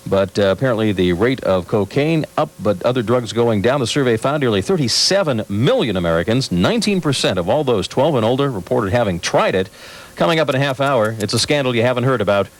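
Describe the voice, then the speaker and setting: A man's voice: medium pitch, sing-song, a little fast. A man reading the morning news on the radio, dropping wry jokes between items. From California. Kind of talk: morning radio newscast with banter.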